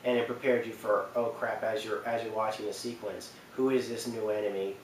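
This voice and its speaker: A man's voice: lowish voice, level tone, a little hiss or hum. Man in a very echoey room, distant mic. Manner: halting, searching for words. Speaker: video countdown host. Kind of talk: casual unscripted commentary.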